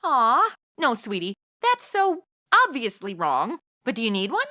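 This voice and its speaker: A woman's voice: on the high side, wildly swooping pitch, a little slow, pushed and bright, heavily acted. A woman playing a chatty, meddling modern podcaster sidekick in a comedy audio drama, sassy and casual. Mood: indignant, protective.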